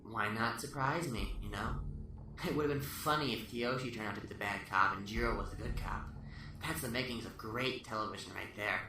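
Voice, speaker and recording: A man playing a calm, mocking male serial killer under interrogation, in a roomy recording set back from the mic with music beneath. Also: fairly low pitch, brisk, far from the mic, close to an everyday voice. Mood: smug, mocking. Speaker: arrested suspect under interrogation. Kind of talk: amateur radio drama acting.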